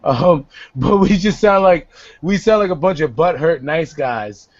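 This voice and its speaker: A young man, hosting a casual video-call chat, animated and profane, swinging between a low voice and a high one. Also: unhurried, dry recording, muffled recording. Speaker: host. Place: small home room indoors. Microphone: headset on a video call.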